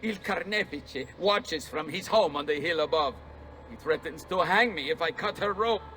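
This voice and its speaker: A man's voice: fairly high pitch, normal speed, bright, forceful tone, a little hiss or hum, poor audio. An actor playing a bitter, grief-stricken Italian man, near tears, in a video game, with an Italian accent. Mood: anguished, bitter.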